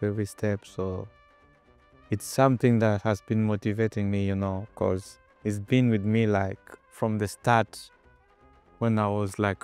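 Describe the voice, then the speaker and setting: A man's voice: fairly low pitch, a little mellow, smooth. A young man with a Kenyan accent, answering interview questions in a hesitant way with many fillers. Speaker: footballer guest.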